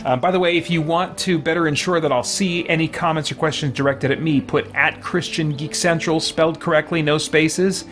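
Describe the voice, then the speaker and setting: A man's voice: fairly high pitch, fairly even intonation, brisk, hardly any pauses, a bit bright, faint music behind. A man giving casual live commentary while playing a video game, thinking aloud and musing to himself as he goes.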